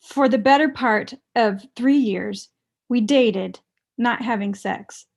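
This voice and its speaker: Comedy table read: resentful young ex-girlfriend played by a woman, slightly high voice, deadpan and confrontational. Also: takes it slowly, creaky, heavily acted. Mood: blunt, wounded. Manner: blunt, deadpan, pressing.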